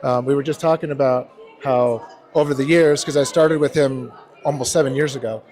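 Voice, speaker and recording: A man in his fifties from California, a bodybuilding competitor, answering interview questions in a measured way with many pauses. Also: mid-range voice, steady tone, darkish tone, soft background music, a faint crowd. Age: 50s. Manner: measured, hesitant, many "um" and "you know". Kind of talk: casual interview.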